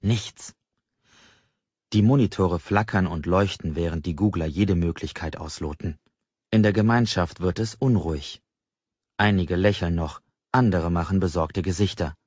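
A man narrating a novel as an audiobook, in an expressive storytelling style. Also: low voice, moderate pace, slightly rough, no room sound, lo-fi sound. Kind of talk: expressive literary narration, present tense.

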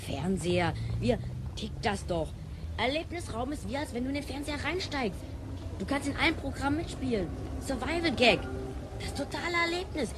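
A stubborn child from the future in a radio play. The female voice is fairly high, slangy and insistent, over clear background noise. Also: lively intonation, moderate pace. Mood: boastful, excited. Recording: clear noisy scene ambience.

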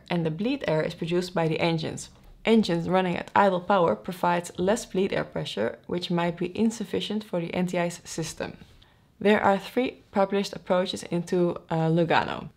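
A young woman from the Netherlands, an airline pilot, speaks to camera from a desk in a small studio. Her voice sits a bit low for a woman, and her delivery is measured and friendly, like a scripted explainer. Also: darkish tone. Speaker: airline pilot and video host. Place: small indoor studio with aviation posters.

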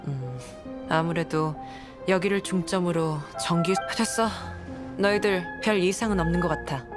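Aloof, low-voiced young nun. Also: female voice. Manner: curt, detached, dry. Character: aloof, suspicious young nun, cool and blunt. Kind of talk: anime game voice acting. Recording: clean studio voice over background music.

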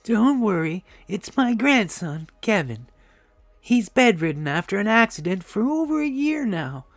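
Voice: medium pitch, sing-song, a little slow, no room sound, faint music behind, voice pushed up. A narrator voicing a kindly, chatty old grandmother in an audiobook, motherly and emphatic. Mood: reassuring.

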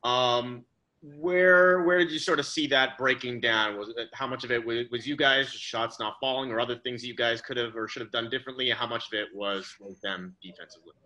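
A male reporter poses a question at a press call in a bright, mid-range voice. He restarts phrases as he goes, circling toward his point. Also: medium pitch, fairly quick, rough recording.

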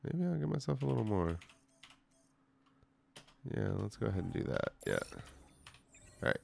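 A man's voice: fairly low pitch, wildly swooping pitch, a little slow, vocal fry, a little mellow, slight background noise. A man giving loose, jokey commentary while streaming a game, sounding nasal from a cold. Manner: loose, rambling, jokey asides. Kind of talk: casual gameplay commentary.

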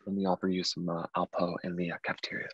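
A man's voice: low-pitched, little pitch movement, very fast, breathy edge, no room sound, dull audio. A man acting an awkward, hesitant, well-to-do character in his late thirties at a table reading. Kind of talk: dramatic script reading.

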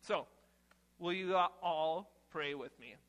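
Bright, high-pitched young man, playful children's preacher. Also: slow pace. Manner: animated, informal, exclaiming. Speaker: children's message leader at church. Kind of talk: playful, casual children's sermon. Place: church sanctuary, seated on chancel steps among children.

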